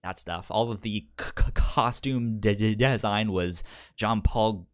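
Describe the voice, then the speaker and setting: Low-voiced man with a pronounced stutter. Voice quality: pronounced stutter with repeated sounds and syllables. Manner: halting, hesitant delivery. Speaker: podcast co-host. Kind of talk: casual film discussion.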